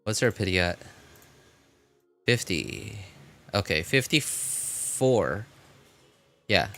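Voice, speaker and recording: A man with a fairly low voice gives casual live gameplay commentary. He speaks very slowly and haltingly, rambling and correcting himself as he goes. Light music plays behind him. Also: lively intonation, vocal fry, a bit bright. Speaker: game livestreamer.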